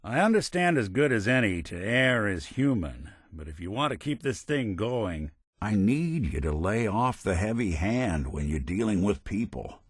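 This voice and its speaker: A man's voice: on the low side, sing-song, normal speed, husky, no room sound, clean studio sound. A male actor playing a world-weary, principled android detective in a video game, with a wry, folksy hardboiled way of talking and old-fashioned slang. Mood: stern. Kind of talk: video game companion dialogue, noir detective.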